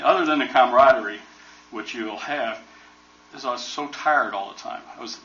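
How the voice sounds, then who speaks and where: A man's voice: medium pitch, bright, forceful tone, slight background noise. An older man in his seventies with an American accent, a retired helicopter pilot giving a casual, joking talk to a museum audience. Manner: folksy, joking storyteller. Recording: roomy, distant, dull recording.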